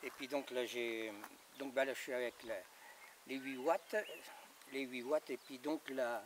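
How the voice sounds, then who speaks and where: A man in his fifties is heard from a few steps away outdoors, with clear background noise. His pitch is middling and he talks casually as a radio operator, in halting, repeated phrases. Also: fairly even intonation. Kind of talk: casual radio check chat. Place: outdoors in a gravel yard by a house. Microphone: camera a few metres away. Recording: distant camera mic, noisy open air.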